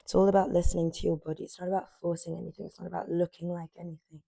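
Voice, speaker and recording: A woman in her twenties guiding a morning stretching class, cueing softly and unhurriedly as she moves. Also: on the low side, flat delivery, racing, vocal fry, slightly rough, dry recording. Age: 20s. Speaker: yoga instructor. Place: sunlit living room with large windows.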